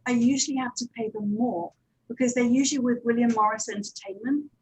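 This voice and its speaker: A woman with a slightly high voice talks on a panel as a producer. She explains practical matters step by step, pausing and hesitating as she goes. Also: fairly even intonation, rough recording. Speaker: audio drama producer and director, panelist.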